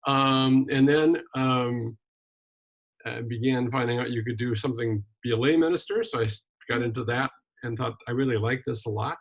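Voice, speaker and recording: An older man from Wisconsin, a retired minister and journalist, telling his life story in a relaxed interview with frequent ums and restarts. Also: mid-range voice, moderate pace, poor audio. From Wisconsin, USA. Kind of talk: casual conversational interview.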